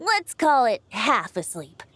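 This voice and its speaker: A woman's voice: a little high, huge pitch swings, slow, a bit bright, a little hiss or hum, exaggerated voice. A woman playing a youthful, playful male bard in a video game, with a theatrical, lilting storyteller's manner. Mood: storytelling. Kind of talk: video game character acting. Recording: music behind the voice.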